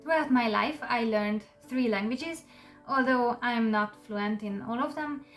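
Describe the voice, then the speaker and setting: Hungarian-native woman, slightly high pitch, easy chatty vlog delivery, faint music bed. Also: measured pace, a bit bright. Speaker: channel host. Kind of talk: casual talk to camera.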